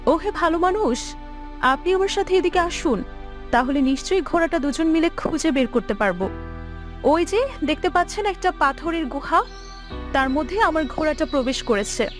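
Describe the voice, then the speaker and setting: A woman's voice: high-pitched, animated, moderate pace, soft background music. A woman voicing a plaintive, polite young princess in a fairy tale cartoon. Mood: coaxing. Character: pleading young princess, lost and helpless.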